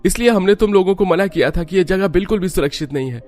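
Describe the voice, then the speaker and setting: Guy doing a worked-up young village husband for a cartoon, voice pushed high. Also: animated, fast, a little mellow, soft background music. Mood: worried, scolding. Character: earnest young village husband, agitated and scolding. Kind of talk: cartoon story dialogue acting.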